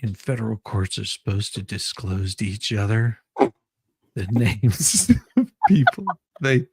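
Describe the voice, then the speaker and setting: A man playing a questioning attorney in an amateur dramatic reading of a deposition, halting and repeating his words. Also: on the low side, animated, a little mellow, husky, no room sound, full character voice. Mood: questioning, patient.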